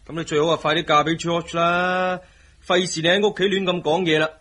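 An actor playing a doting but quick-tempered young husband in a Hong Kong Cantonese radio drama. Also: male voice, a little high, little pitch movement, bright, forceful tone. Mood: irritated. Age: young adult.

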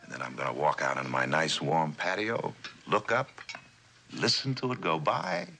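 Casting: weary, wry pilot and family man, low-voiced, dry halting musing, vintage TV drama with noisy sound. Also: gravelly, pushed and bright, exaggerated voice. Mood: wistful, wry. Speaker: actor. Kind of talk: 1960s television drama acting. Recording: noisy, clicky old television soundtrack.

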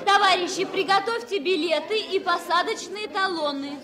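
An actress playing a brisk, courteous flight attendant officially urging passengers along in a 1970s radio play. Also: female voice, very high-pitched, a crowd in the background.

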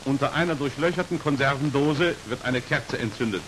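A man's voice: mid-range voice, a little fast, low-quality recording. A man narrating a 1940s instructional film in a formal, precise, matter-of-fact voice, on an old hissy soundtrack. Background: steady hiss.

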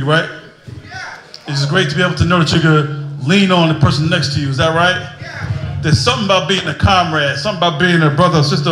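A middle-aged man hosts from an outdoor stage, talking into a handheld mic that booms through the speakers. He keeps asking the crowd to answer back. His voice sits a little high. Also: a bit bright, slight background noise. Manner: call-and-response, asking the crowd "is that right". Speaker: event emcee. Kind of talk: rally emcee talk. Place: outdoor stage in front of a mural. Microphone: handheld microphone. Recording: echoey outdoor PA sound.

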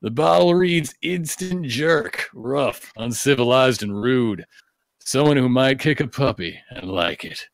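Guy reading stuff out loud on a podcast, joking asides, dry close mic. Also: medium pitch, lively intonation, measured pace, creaky, dry recording. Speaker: podcast reader.

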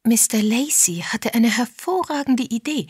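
A woman's voice: fairly high pitch, expressive, a little slow, a little mellow, breathy edge, no room sound, studio quality, pitched above the performer's own voice, full character voice. A woman voicing a kindly village woman, reassuring and practical, in an audiobook.

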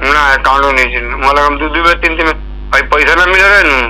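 Bright, tense Bihari man on phone. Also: on the high side, fast. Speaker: village water scheme caretaker, caller. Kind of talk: informal phone conversation. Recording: noisy, humming recorded phone call.

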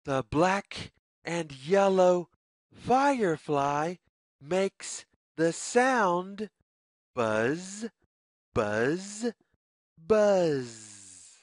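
Very slow, sing-song children's story reader. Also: medium pitch, sing-song, no room sound, clean studio sound. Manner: slow, deliberate, phrase-by-phrase sing-song reading. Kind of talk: children's read-aloud story narration.

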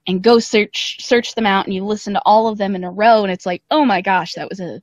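A woman's voice: medium pitch, a little fast, no room sound. A woman chatting casually and informally as a podcast guest over a remote call. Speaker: podcast guest, audio drama creator. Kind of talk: casual interview chat. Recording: slightly dull lo-fi call audio.

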